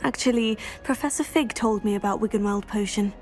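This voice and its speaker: A woman playing a polite, curious teenage schoolgirl in a video game, speaking in a measured way. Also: medium pitch, a little mellow, a little hiss or hum, close to an everyday voice. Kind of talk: video game dialogue acting.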